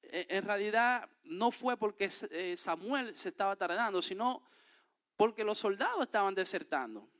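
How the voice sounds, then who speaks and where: High-voiced Dominican preacher. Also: male voice, expressive, moderate pace, fairly bright tone. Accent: Dominican Spanish. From Dominican Republic. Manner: reads scripture aloud, then explains it with emphatic rises. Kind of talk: sermon with scripture reading.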